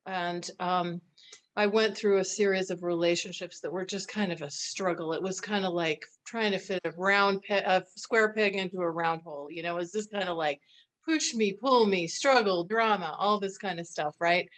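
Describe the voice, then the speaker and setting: A woman's voice: lowish voice, normal speed. Chatty middle-aged woman hosting an interview. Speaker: host.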